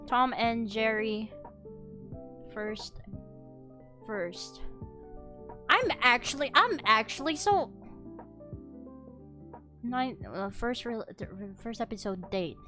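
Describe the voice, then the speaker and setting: Brief: young female streamer, high bright voice, lively mock outrage, soft music underneath. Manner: animated, exaggerated outrage, many restarts. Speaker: VTuber streamer. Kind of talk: casual chat while drawing, reading chat.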